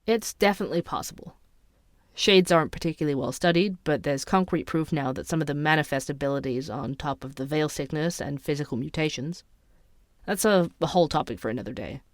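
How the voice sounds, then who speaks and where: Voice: mid-range voice, no echo, lightly acted. An actor as a young night-shift podcaster in an audio drama, with a mid-range voice. The delivery is inquisitive and skeptical, confiding each detail like a private investigation log, with music underneath.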